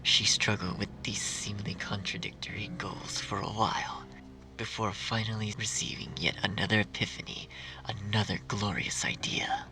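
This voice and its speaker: Low, gravelly male horror story narrator. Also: steady tone, normal speed, whispered, rough recording. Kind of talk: scripted dark fan fiction narration. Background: music.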